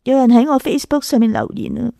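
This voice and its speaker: Pensive young woman, creaky high voice. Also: fast talker, warm tone, no echo, studio quality. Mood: guarded, quiet. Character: young woman artist, pensive, wistful, haunted by the past. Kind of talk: radio drama acting.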